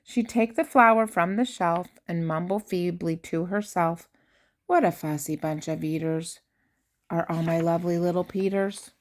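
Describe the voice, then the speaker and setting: An older woman, a grandmother, reads a rhyming children's picture book aloud with expressive, theatrical emphasis on key words. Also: lowish voice. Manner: theatrical emphasis, drawn-out key words, dropping to hushed asides.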